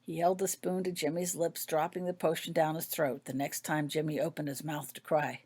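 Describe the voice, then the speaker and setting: A woman's voice: deep voice, level tone, moderate pace, a little mellow, breathy edge. A woman narrating a scripted story, reading her own fiction aloud.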